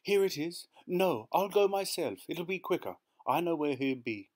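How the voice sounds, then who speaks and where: A man's voice: medium pitch, expressive, brisk, pitched above the performer's own voice, lightly acted. A man voicing an anxious, flustered wife who pleads politely and hurriedly, as a storybook character. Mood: frantic. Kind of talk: audiobook character voice.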